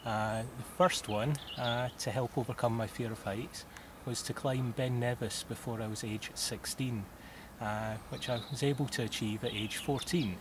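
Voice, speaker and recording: Scottish man, 30s, interview guest, easygoing and halting, mid-range voice, audible background noise. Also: mid-range voice, steady tone. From southwest Scotland. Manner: unhurried, with frequent "uh" pauses and occasional laughs. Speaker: mountaineer guest. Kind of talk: interview answers.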